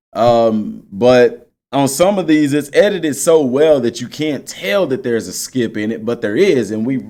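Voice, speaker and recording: Man, medium pitch, casual gaming host, unscripted, explanatory, repeats points, talks straight to viewers. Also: a little mellow. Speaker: video game channel host.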